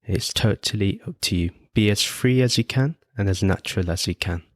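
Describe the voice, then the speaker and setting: A man leading a guided meditation as host, giving gentle, unhurried instructions with frequent pauses. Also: fairly low pitch, no room sound, studio quality. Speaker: podcast host guiding meditation.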